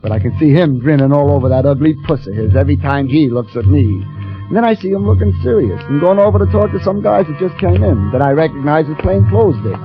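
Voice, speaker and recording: An actor playing an eager, boastful young elevator operator who gets easily flustered, in 1940s radio style. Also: male voice, on the high side, lively intonation, fast talker, lightly acted. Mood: wounded, scheming. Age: young adult. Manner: earnest bragging, stammering when flustered. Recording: muffled old radio broadcast with music.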